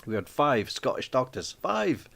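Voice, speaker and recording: A man hosting a live stream from his small flat, chatting casually and rambling with lots of ums and restarts. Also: medium pitch, a little slow, creaky. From Wales.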